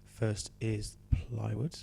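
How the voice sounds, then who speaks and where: Guy teacher, full of cold, croaky rasp, faint hum behind, walks you through homework patiently. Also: fairly low pitch, flat delivery, very slow, warm tone. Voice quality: croaky, slips into whispery breaks. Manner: patient, explaining step by step. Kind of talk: homework help walkthrough.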